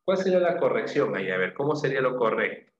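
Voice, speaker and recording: A man's voice: medium pitch, big pitch swings, fast talker. Echoey lo-fi male teacher. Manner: questioning, repeats answers and confirms them with short praise and tag questions. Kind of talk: interactive exam-prep language class. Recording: echoey room, dull lo-fi sound, faint noise.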